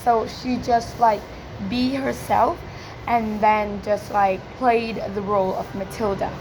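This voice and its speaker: Woman, hoarse cracking voice, slow pace, hesitant filler-heavy speech, informal presentation, noticeable background noise. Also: medium pitch, fairly even intonation. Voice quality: croaky, cracking. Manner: hesitant, many filler likes. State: hoarse from yelling. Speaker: student presenter practising English speaking. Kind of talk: informal spoken question-and-answer presentation.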